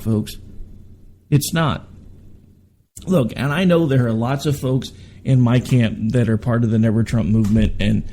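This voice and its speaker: A man's voice: mid-range voice, mellow, slight background noise. A man in his forties hosting a political talk radio show, tired from overwork, talking in a rambling, candid way with asides and self-corrections. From Oklahoma, United States. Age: 40s.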